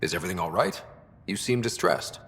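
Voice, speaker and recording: An actor playing an earnest, confident young human explorer in a video game, speaking politely and eager to help. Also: male voice, deep voice, fairly quick, a bit bright. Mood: curious. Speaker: pathfinder. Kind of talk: video game dramatic acting. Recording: long reverb, faint music behind.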